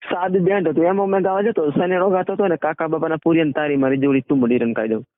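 Man, high-pitched voice, casual interviewee, narrowband phone line, dry sound. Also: fairly even intonation, quick pace, no echo. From Gujarat, India. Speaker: singer and band owner being interviewed. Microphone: phone call.

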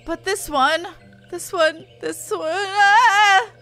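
A woman's voice: very high-pitched, slow, pushed and bright, faint music behind, pitched above the performer's own voice, exaggerated voice. A woman voicing a small, bumbling male forest creature from a fantasy game, begging whinily in odd third-person speech. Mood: pleading.

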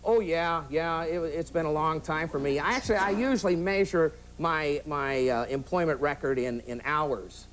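A man's voice: a little high, moderate pace, few pauses, a bit bright. A man in his fifties, a radio host guesting on a TV talk show, talking in a dry, self-mocking joking way into a lapel mic in a studio. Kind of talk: casual TV talk show chat. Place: TV studio set with audience.

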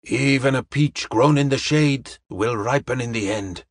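Guy doing a dignified chinese detective for an audiobook, wise and gently teasing, stilted formal phrasing, dry close sound. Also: medium pitch, a little slow, dry recording, natural voice. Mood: calm, benevolent. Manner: formal, stilted English with dropped articles and proverbs.

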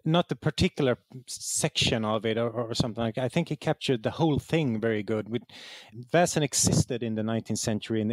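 A man's voice: mid-range voice, no echo. A man with a Swedish accent, a native Swedish speaker, talking conversationally as an interview guest and often searching for words. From Sweden. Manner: halting, searching for words, repeats words. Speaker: interview guest, game writer.

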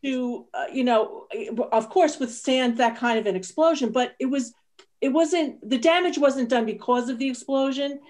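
A woman's voice: high voice, moderate pace, darkish tone. A woman explaining history emphatically in a panel discussion, speaking indoors on a video call.

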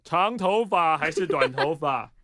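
A man's voice: fairly high pitch, expressive, a little slow, bright, forceful tone, dry recording, studio quality. A man with a Taiwanese Mandarin accent doing improvised radio comedy, teasing and needling his partner mischievously.

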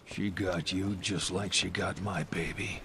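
A low-voiced American man in middle age, gruff but fatherly. He talks plainly and protectively, like a tough dad on a mission, over clearly audible game ambience. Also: steady tone, a little slow, background noise. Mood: gentle, gruff. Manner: gruff, paternal, plain-spoken. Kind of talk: video game cutscene acting.

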